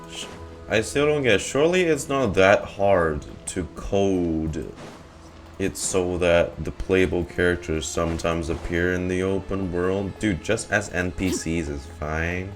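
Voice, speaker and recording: Male game streamer, low-pitched, casual and rambling, with game music underneath. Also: takes it slowly. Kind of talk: casual livestream gameplay commentary.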